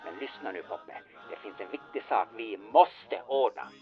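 A man's voice: on the low side, expressive, muffled recording, faint music behind, close to an everyday voice. A man playing a bossy, scheming small-time fixer in an audio drama, insistent, sardonic and conspiratorial. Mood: conspiratorial, wry.

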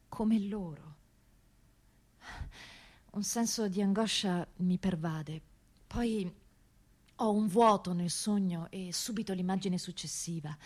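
Slow, reflective woman narrating radio theatre. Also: medium pitch. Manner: measured, reflective delivery with long pauses. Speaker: actor-author narrating a monologue. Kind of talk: dramatic radio theatre narration in first person.

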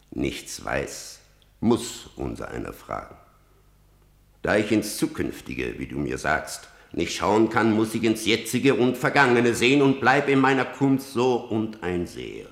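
A gravelly old blind seer. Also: male voice, low voice, very animated, unhurried, echoey room, heavily acted. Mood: probing. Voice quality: gravelly, solemn declamation. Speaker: actor in radio drama. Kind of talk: stage verse drama.

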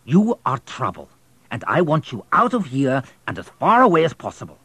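A man reading an audiobook, voicing a stern, sneering small station master who gives orders in a raised, forceful voice. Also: on the high side, huge pitch swings, normal speed, slightly rough, no echo, voice pushed up, exaggerated voice. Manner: commanding, sneering.

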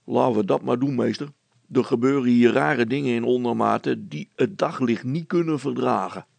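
A man's voice: mid-range voice, moderate pace, gravelly, a little mellow, no echo. A man voicing a simple, blunt village policeman in a children's story, with folksy, plain speech. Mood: agreeable.

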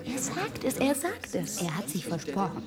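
Hushed, urgent, lively-pitched woman. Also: normal speed, crowd noise. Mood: agitated, hushed. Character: uneasy, questioning woman whispering in a lecture audience. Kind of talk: German radio play acting.

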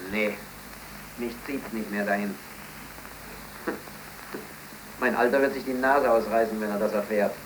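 A man's voice: lowish voice, steady tone, takes it slowly, pauses often. In a noisy 1930s German radio play, an actor plays a bitter, despairing unemployed blacksmith in his twenties, theatrical and brooding. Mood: bitter, resigned. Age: 20s.

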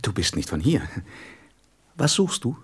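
A man playing a wise, courteous, wistful wild fox in a radio play, with a husky voice and animated delivery. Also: mid-range voice, measured pace, heavily acted. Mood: wary, curious.

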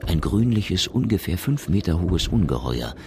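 A man's voice: sits low, sing-song, normal speed, a little mellow, slight background noise. A man reading scripted narration as the narrator of a science fiction radio drama.

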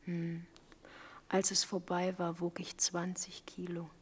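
An actress playing a naive, blunt young survivor in a German radio play, speaking in a hushed, plain, questioning way. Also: female voice, fairly low pitch, completely flat delivery, slow, slight background noise. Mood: quietly remembering. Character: Marischka, naive, blunt young girl, later remembering survivor.

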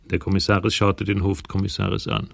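A man reads scripted narration for a radio crime drama. His voice is deep and gravelly, recorded very dry. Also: little pitch movement, brisk, no room sound.

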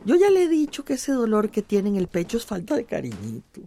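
A woman's voice: mid-range voice, wildly swooping pitch, fairly quick, mellow. An actress playing a caring, teasing Central American countrywoman in a radio drama, affectionate and coaxing. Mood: fond teasing. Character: motherly, chatty village countrywoman, caring and teasing.

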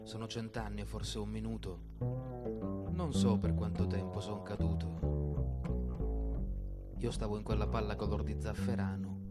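Un attore che interpreta un poeta sognatore e spaccone in un monologo teatrale, declamato con pause drammatiche. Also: male voice, lowish voice, steady tone, lightly acted. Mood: lofty, dreamy boasting. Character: swaggering dreamer poet boasting he fell from the moon. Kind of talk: theatrical stage monologue with guitar. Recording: loud plucked guitar music alongside. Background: guitar music.

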